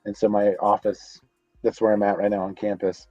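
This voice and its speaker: Man, low mellow voice, rambling casual chat, muffled lo-fi call audio, dry office. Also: flat delivery, normal speed, dry recording. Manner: rambling, many restarts and fillers. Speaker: guest, comics creator. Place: office on a college campus.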